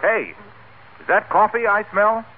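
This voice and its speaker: A man's voice: high-pitched, animated, measured pace, a little hiss or hum. An actor playing an eager, friendly salesman who chats with neighbors in a 1940s radio comedy. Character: genial, chatty salesman friend dropping by. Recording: tinny old radio broadcast.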